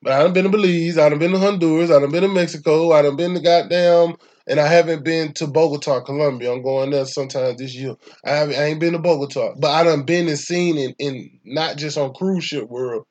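A young man with a Southern Atlanta accent speaks in a voice pitched a bit above the usual male range. He talks in loose, rambling casual conversation, swearing freely and hammering his points with emphasis. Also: fairly even intonation, brisk, darkish tone. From Atlanta, Georgia, US. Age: young adult.

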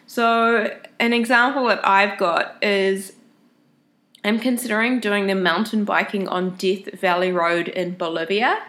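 A woman's voice: medium pitch, fairly even intonation, unhurried, fairly bright tone. A woman talks to her viewers in a casual, friendly way, walking them through practical travel tips one step at a time. A head cold makes her voice sound plainly nasal and stuffed up. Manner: friendly, chatty, explains step by step. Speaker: travel vlogger. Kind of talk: casual advice talk to camera.

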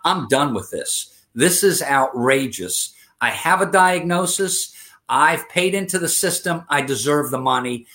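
A man's voice: fairly high pitch, expressive, a little slow, bright, forceful tone. A man in his fifties giving an interview, speaking earnestly, with frequent fillers and restarts. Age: 50s. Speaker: interview guest. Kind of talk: conversational interview.

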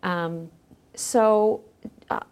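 A woman's voice: lowish voice, steady tone, very slow, a little mellow, smooth. A woman speaking as a podcast guest in a thoughtful, conversational interview style, feeling her way through her phrases.

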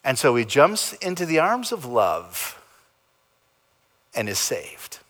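A man preaching a sermon as pastor, in a dramatic style that swings between loud emphasis and soft, low endings. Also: huge pitch swings, slow pace. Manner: dramatic preaching with big swings in pitch and loudness. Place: church sanctuary.

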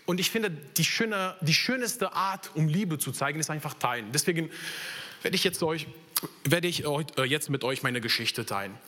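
A man's voice: fairly high pitch, lively intonation, moderate pace, pushed and bright. A young man from Italy with an Italian accent, preaching a sermon in earnest and sharing his own story. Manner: earnest, personal testimony with slips in German grammar.

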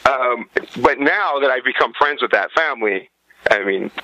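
A man's voice: mid-range voice, lively intonation, moderate pace, sharp tone, rough recording. A man talking casually as an interview guest, emphatic and opinionated in his delivery. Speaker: podcast guest, activist.

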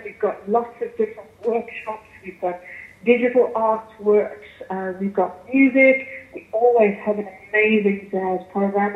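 A woman with a South African accent giving articulate, measured answers as a guest in a radio interview. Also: mid-range voice, reverberant, phone-quality sound. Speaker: arts festival chief executive, interview guest.

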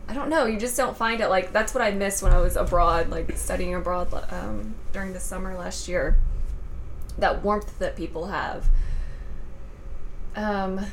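A woman's voice: medium pitch, poor audio. A woman in her twenties from the American South talks as a guest in a casual interview. She keeps a playful, teasing tone. Faint background hiss is heard.

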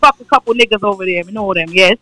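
A woman's voice: mid-range voice, fast talker, over music, low-quality recording. A woman from New Jersey, a guest in a casual chat, talking in a brash, unfiltered way and laughing often.

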